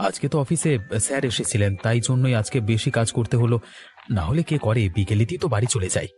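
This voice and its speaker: A man's voice: mid-range voice, expressive, fast talker, darkish tone, faint music behind, lightly acted. Man acting a plaintive young married son. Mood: troubled, resigned. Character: troubled young married office-worker son. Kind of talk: Bengali family cartoon acting.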